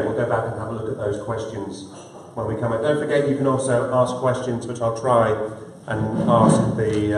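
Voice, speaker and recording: A man's voice: medium pitch, slight background noise. A man moderating a live conference session, speaking loosely with frequent hesitations. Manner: loose, hesitant with many fillers. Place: large conference hall. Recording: echoey hall, distant mic.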